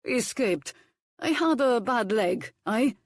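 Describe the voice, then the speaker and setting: A man's voice: very high-pitched, animated, slow pace, no echo, clean studio sound, voice pushed up, full character voice. A woman voicing a blunt, bossy male ship's cook who speaks broken English, in a storybook reading. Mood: matter-of-fact. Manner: broken English, curt commands.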